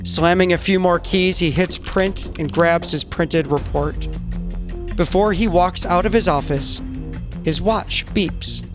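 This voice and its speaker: Higher-voiced male narrator over music. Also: slow. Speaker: narrator and player. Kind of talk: cinematic scripted narration.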